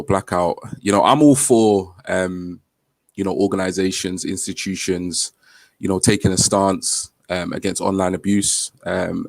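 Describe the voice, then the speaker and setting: Gravelly deep-voiced co-host, dry sound. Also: male voice, no room sound. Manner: measured, earnest, with many fillers. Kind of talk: casual chat.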